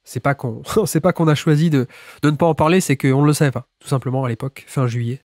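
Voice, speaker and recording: A man's voice: on the high side, fairly even intonation, fast talker, darkish tone, no echo, studio quality. A man with a cold hosting a basketball podcast, chatting through the introduction with restarts and small laughs. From France. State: has a cold. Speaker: podcast host.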